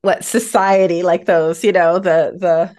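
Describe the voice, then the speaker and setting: A woman's voice: on the low side, slow pace. Affirming woman podcast host. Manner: warm, affirming, rambling questions. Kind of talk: podcast interview.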